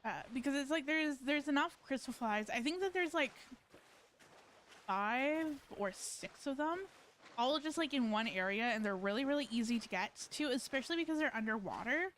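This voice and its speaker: A woman's voice: high voice, expressive, moderate pace, fairly bright tone, a little hiss or hum. A woman giving casual live-stream commentary on a game, rambling and thinking aloud as she plays.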